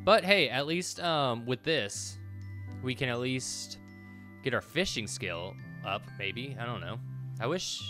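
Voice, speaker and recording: Bright-voiced man rambling over a video game. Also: medium pitch, lively intonation, takes it slowly. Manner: rambling, thinking aloud, mild swearing. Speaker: let's play host. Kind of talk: casual gameplay commentary. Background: game music.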